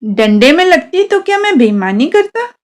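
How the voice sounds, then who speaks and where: Storybook role: sentimental officer man read by a woman, high animated voice, earnest, familiar, insistent. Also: moderate pace. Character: nostalgic male officer reminiscing with a childhood friend.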